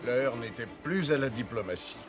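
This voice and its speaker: Un homme jouant un conteur suffisant, intonations vives. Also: male voice, mid-range voice, expressive, moderate pace, over loud music, close to an everyday voice. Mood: self-satisfied. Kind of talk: French-dubbed cartoon narration.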